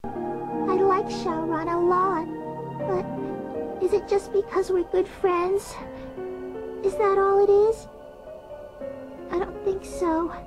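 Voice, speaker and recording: A woman's voice: extremely high pitch, flat delivery, slow, pauses often, over music. A woman playing a sweet, earnest young girl in an anime dub, childlike in manner. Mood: anxious, wondering.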